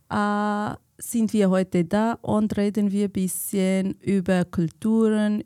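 A woman's voice: medium pitch, warm tone, dry recording, clean studio sound. A woman hosting a community radio show, asking questions in a friendly, encouraging way. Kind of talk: casual radio chat.

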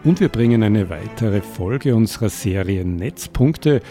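A man's voice: fairly low pitch, animated, a little slow, mellow, faint music behind. A man with an Austrian German accent hosting a community radio show, introducing topics and interviewing a guest in the studio, often hesitating with fillers as he asks questions. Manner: hesitant with many fillers when asking questions. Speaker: radio host.

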